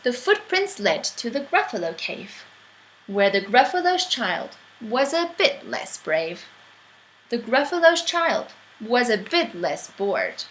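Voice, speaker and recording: A woman reading a children's story aloud as an expressive narrator. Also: on the high side, takes it slowly, a little hiss or hum, rough recording. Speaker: storybook reader.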